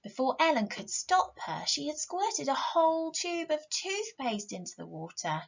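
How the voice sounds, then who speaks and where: A woman's voice: high voice, very animated, normal speed, creaky, muffled recording. A middle-aged woman, a teacher reading a picture book expressively and questioning young listeners.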